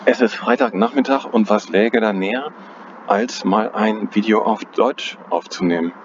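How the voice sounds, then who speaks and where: A man's voice: on the low side, sing-song, moderate pace, creaky. Man musing over charts outdoors, lo-fi. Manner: thinking aloud, hesitant, self-correcting, chuckles at himself. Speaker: trading analyst. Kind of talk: casual chart analysis commentary. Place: outdoors on a cold day. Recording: dull lo-fi audio with outdoor noise.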